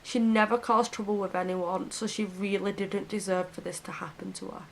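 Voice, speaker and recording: Hoarse woman telling a crime story. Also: medium pitch, flat delivery, normal speed, a little hiss or hum, rough recording. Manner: earnest, heartfelt. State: hoarse and sniffly from a cold. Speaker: true crime YouTuber. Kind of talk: casual true crime narration to camera.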